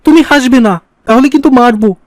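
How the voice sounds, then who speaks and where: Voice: sits high, brisk, a little mellow, no room sound, natural voice. A performer playing a sulky, mischievous, impatient little boy in a cartoon. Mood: petulant. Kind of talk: cartoon dialogue.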